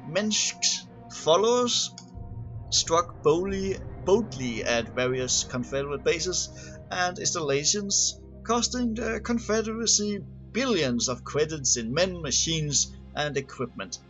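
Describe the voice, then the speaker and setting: A man with a slightly high voice narrates from a written text. His reading is halting, with words repeated and restarted. The recording sounds dull and homemade, with music playing faintly behind him. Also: animated, fairly bright tone. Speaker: amateur reader of game lore. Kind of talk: scripted narration, reading a game manual aloud. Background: background music.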